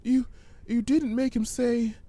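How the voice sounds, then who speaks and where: A man puts on a nervous female storybook pony with a raised, high voice. She is timid and easily panicked, halting and whimpering as she speaks. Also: fairly even intonation, mellow, no room sound, heavily acted. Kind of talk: dramatic storybook reading.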